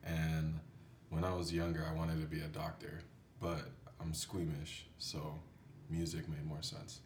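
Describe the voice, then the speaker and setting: A man chatting casually as a music producer guest, telling stories in a loose, halting way. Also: very deep voice, monotone, darkish tone. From born in Haiti. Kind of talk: casual chat. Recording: roomy, distant mic with faint background noise.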